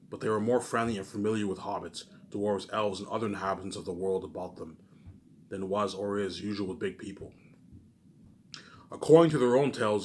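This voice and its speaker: A man's voice: fairly low pitch, a little hiss or hum. A man casually reading a book aloud for his viewers, often stumbling, repeating words and breaking off into emphatic rhetorical asides. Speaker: video creator doing a book readalong.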